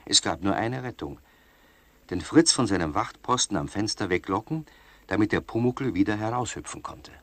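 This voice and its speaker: An actor as the storyteller of a children's radio play, addressing young listeners directly. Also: male voice, lowish voice, expressive, normal speed, a little hiss or hum, natural voice. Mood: engaged storytelling. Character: storyteller narrating a children's tale. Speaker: narrator.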